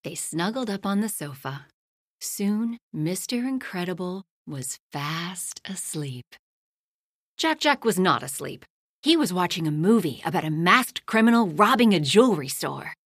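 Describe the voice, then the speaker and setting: A woman reading a children's picture book aloud, hushed at the bedtime lines and louder in the action. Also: lowish voice, no echo, clean studio sound. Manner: slow, lively storytelling, hushed at bedtime lines and loud in action. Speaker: storybook reader.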